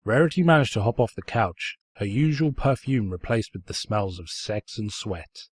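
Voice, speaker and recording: A man's voice: lowish voice, expressive, normal speed, slightly rough. A man reading fan fiction aloud as scripted story narration, in a pompous, snide storyteller's manner.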